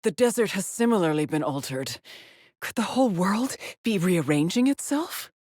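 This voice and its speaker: An actress playing a haunted, determined space explorer stranded on an alien world, speaking a grave, introspective video game monologue with a husky, breathy voice. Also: female voice, mid-range voice, big pitch swings, darkish tone, no echo, clean studio sound. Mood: focused, analytical. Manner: measured, introspective, grave, with sighs. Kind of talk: scripted video game monologue, solitary log narration.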